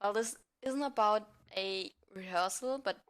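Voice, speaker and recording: A woman's voice: mid-range voice, unhurried, fairly bright tone, smooth. Young female podcast guest, informal conversational delivery, mid-range voice. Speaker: podcast guest, amateur theatre member. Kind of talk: casual chat.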